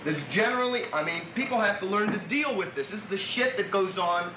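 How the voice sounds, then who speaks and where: High-voiced man arguing bluntly in a roomy hall. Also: animated, a little fast. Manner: blunt, profane, rambling with restarts. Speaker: co-op member at a meeting. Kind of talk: heated meeting debate. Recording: echoey room, noisy old tape.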